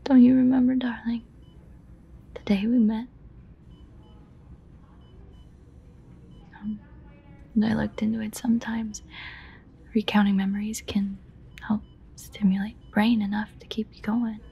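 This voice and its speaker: A woman playing an obsessive, guilt-ridden yandere lover in a whispered audio roleplay, halting, breathy, intimate and pleading. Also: on the high side, flat delivery, dark tone, muffled recording, a little hiss or hum. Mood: hopeful, tender. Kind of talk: whispered dramatic audio roleplay addressed to a listener.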